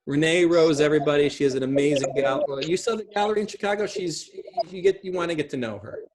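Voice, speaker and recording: A man's voice: fairly high pitch, fast, a little hiss or hum. A middle-aged man talking casually and emphatically, explaining things on a video call. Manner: emphatic, animated. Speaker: speaker and co-host of online film panel. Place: room at home, indoors.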